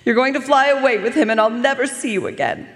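A woman's voice: a little high, expressive, quick pace, lightly acted. A woman playing a jealous, melodramatic pet cat, theatrical and plaintive, in a live radio drama. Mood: bitter, self-pitying. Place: performance hall stage. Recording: reverberant concert hall stage.

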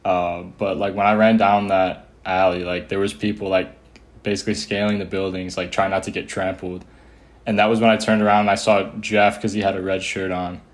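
Low, flat-voiced young American man. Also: a little fast. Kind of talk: casual recollection.

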